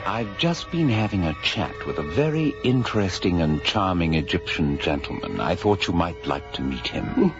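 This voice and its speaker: A man's voice: low-pitched, big pitch swings, normal speed, few pauses. A man playing a shrewd Sherlock Holmes-like space detective in a radio drama, wry and elaborately polite. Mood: teasing, amused. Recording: dull radio recording with music underneath.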